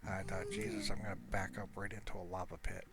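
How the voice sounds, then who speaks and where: A man's voice: low voice, little pitch movement, fast, vocal fry. A man giving casual, rambling gameplay commentary, thinking aloud in an informal, hesitant way. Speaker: gamer recording gameplay commentary. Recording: loud noise with clicks behind voice.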